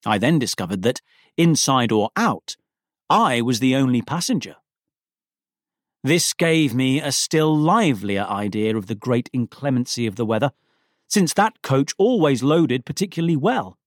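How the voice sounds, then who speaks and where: A man reading a Victorian story aloud as an actor, with wry, self-deprecating humour and deliberate, dramatic phrasing. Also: medium pitch, sing-song, dry recording, studio quality. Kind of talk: expressive literary narration of a Victorian story.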